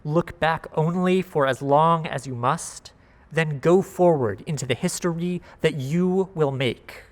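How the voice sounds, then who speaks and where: A man reads poetry aloud in a voice a little higher than most men's. He goes very slowly and measures out each short phrase, with pauses between them. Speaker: guest reader of a poem. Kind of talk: poetry reading at a worship service.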